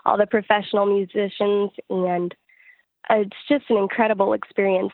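A woman speaks as an interviewed musician, telling things in an earnest, reflective way. Her pitch sits in the middle for a woman and moves very little, and the recording is dry and close. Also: dry recording, phone-quality sound. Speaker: interviewed singer-songwriter. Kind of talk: conversational interview answers.

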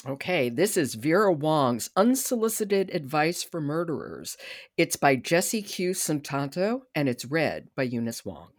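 A woman's voice: sits low, sing-song, a little slow. A woman hosting a podcast in a conversational interview style. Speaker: podcast host.

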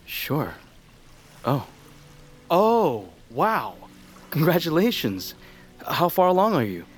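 An actor playing a steady, reassuring doctor in a naturalistic audio drama, speaking in brief, understated replies. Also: male voice, a little high, slow, slight background noise.

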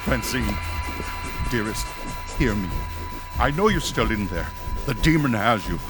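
An actor playing a pompous older ghost-hunting doctor, grave and declamatory, in an audio horror drama. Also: male voice, fairly low pitch, very animated, measured pace, loud music behind the voice. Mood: commanding, solemn.

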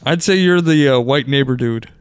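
A man co-hosting a podcast in a home garage, playful and quick to laugh. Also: medium pitch, clear, resonant tone. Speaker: podcast co-host. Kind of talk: casual joking banter.

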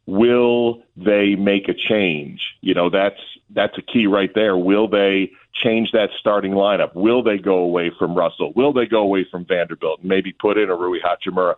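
A man speaking as a guest play-by-play announcer in a radio interview, giving conversational sports analysis in a measured, discursive way. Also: sits low. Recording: thin phone-like line.

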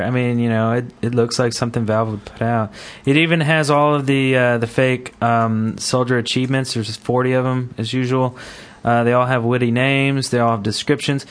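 A man with a medium-pitched voice co-hosts a gaming podcast. He chats casually, feeling his way through his thoughts in a hesitant, meandering delivery. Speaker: podcast co-host.

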